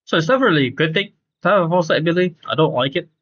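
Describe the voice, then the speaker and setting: Male streamer, fairly high voice, casual live game commentary, repetitive emphatic explanations, slightly muffled lo-fi mic. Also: lively intonation, rapid-fire.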